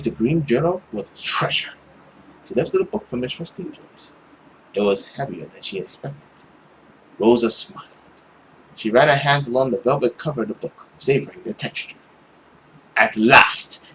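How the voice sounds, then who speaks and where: A man's voice: medium pitch, fairly bright tone, slight background noise, low-quality recording. A young man doing a theatrical, suspenseful read-aloud of a visual novel as a let's play host, at home. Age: young adult. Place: indoor room at home. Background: game music.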